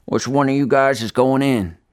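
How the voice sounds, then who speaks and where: A man voicing a fat old rural homeowner, gruff and blunt, with a folksy way of talking. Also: medium pitch, steady tone, moderate pace, no echo, clean studio sound, pitched above the performer's own voice, close to an everyday voice. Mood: matter-of-fact.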